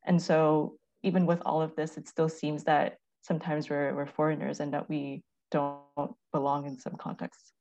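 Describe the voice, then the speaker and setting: A woman from Canada, a research analyst, speaking reflectively and softly in a panel discussion. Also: deep voice, flat delivery, moderate pace, vocal fry, hardly any pauses, dull audio. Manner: reflective, soft-spoken, repeats words.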